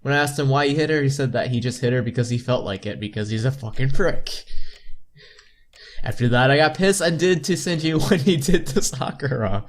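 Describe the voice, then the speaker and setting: A man's voice: mid-range voice, brisk. A young man hosting a game playthrough, reading story text aloud and commenting on it informally. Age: young adult. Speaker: playthrough host.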